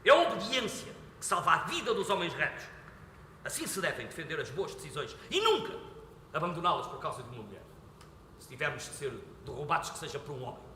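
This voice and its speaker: An actor playing a stern, proud aging king who speaks with commanding scorn and bursts into shouting. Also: on the high side, sing-song, fast, slight background noise. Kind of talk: stage play performance. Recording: echoey hall with faint ambience.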